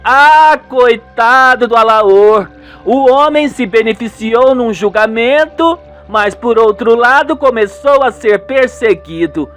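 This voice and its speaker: A man's voice: very high voice, slow, fairly bright tone, faint music behind, pitched above the performer's own voice, heavily acted. A man voicing a pitying, gossipy woman in a radio soap, chatty and sympathetic.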